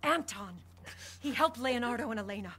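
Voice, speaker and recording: A woman's voice: fairly high pitch, expressive, normal speed, bright, forceful tone. An actress playing a devout village matriarch who commands her household firmly. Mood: commanding. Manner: commanding, then shaken and stammering. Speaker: game character.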